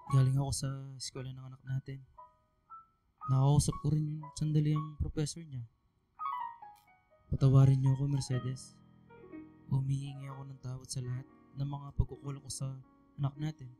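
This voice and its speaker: A man's voice: mid-range voice, little pitch movement, normal speed, long pauses, over music, natural voice. An actor playing a remorseful older father in a radio drama, earnest and apologetic, with a Filipino accent. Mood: remorseful, pleading. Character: remorseful older father returning to abandoned family.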